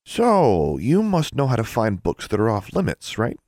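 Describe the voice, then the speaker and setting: A man voicing a headstrong, sharp-tongued schoolgirl in a storybook reading, blunt and quick to retort. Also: mid-range voice, huge pitch swings, no echo, clean studio sound, pitched above the performer's own voice. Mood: sly.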